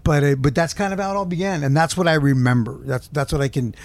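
A man's voice: on the high side, fast talker, a little mellow, dry recording. A man from Southern California, a guest musician in a casual interview, rambling and searching for words. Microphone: handheld mic held close.

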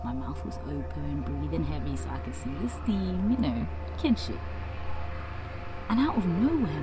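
A woman's voice: mid-range voice, lightly acted. A woman playing an anxious, wry young woman in an audio drama monologue, speaking candidly and conversationally in a London British accent. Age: young adult. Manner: candid, self-mocking, sweary, animated.

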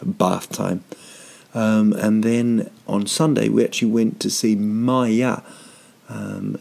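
A man's voice: lowish voice, gravelly, mellow. A man in his thirties, rambling through a comic vlog with dry, self-mocking asides and lots of ums. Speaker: vlogger.

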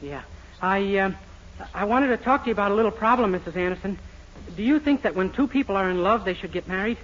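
An actor playing a naive, timid, boyish young sweetheart in a 1940s radio comedy, earnest and sheepish. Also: male voice, high-pitched, quick pace, fairly bright tone. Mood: nervous. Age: young adult. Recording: dull, faintly noisy old radio recording.

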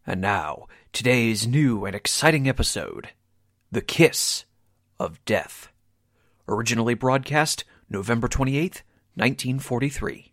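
A man's voice: mid-range voice, animated, unhurried, fairly bright tone, no room sound, clean studio sound. A man announcing a radio drama in a scripted 1940s style, dramatic and emphatic.

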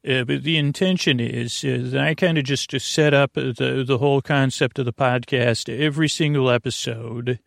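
A man with a creaky, gravelly voice at an average male pitch delivers a bedtime podcast monologue. He wanders softly from tangent to tangent, often stopping and starting, in a soothing manner. The sound is dry, with no room to it. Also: steady tone, no room sound. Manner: meandering, tangential, halting; soothing, gentle. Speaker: podcast host.

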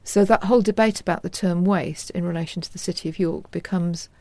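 A woman historian explaining her subject as an interview guest in a measured, scholarly manner. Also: on the low side, darkish tone, no echo.

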